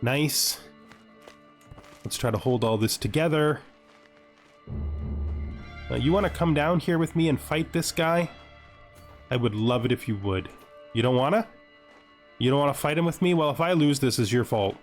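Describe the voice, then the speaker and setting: A man's voice: medium pitch, animated, moderate pace, long pauses, soft background music. A man giving casual let's-play commentary, thinking aloud and wryly addressing the game's characters. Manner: wry, talks to game characters. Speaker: gaming video host.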